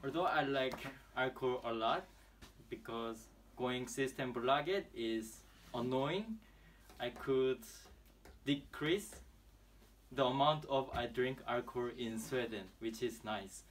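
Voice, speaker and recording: A man's voice: mid-range voice, slow, far from the mic, a little hiss or hum. A young man, plain and matter-of-fact in an interview. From South Korea. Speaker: exchange student. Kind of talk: casual interview answers.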